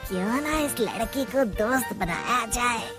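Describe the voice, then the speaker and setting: A woman's voice: fairly high pitch, expressive, unhurried, over loud music. A woman voicing a scheming, commanding witch who disguises herself as a girl in a cartoon story. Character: sly witch disguised as a young girl, menacing and bossy.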